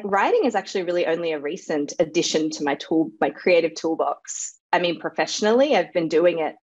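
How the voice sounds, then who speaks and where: Woman guest from Australia, Serbo-Croatian first language, lowish voice, chatty, over a dull video call. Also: no room sound. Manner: chatty, laughs easily, lots of fillers. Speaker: guest author. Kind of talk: casual interview chat.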